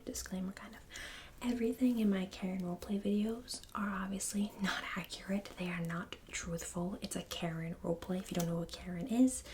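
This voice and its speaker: A woman whispering casually to viewers in an ASMR style, gentle, apologetic and reassuring. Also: on the low side, slight background noise. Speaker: ASMR creator.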